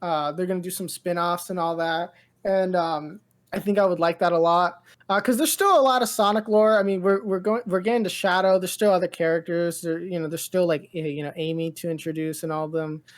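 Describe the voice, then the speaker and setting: A woman co-hosting a pop-culture podcast. She talks in a loose, gushing ramble full of filler words, like an excited fan chatting with a friend. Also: lowish voice, a little fast. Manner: rambling, heavy use of "like" and "dude"; enthusiastic, gushing. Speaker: podcast co-host. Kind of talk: casual pop-culture chat.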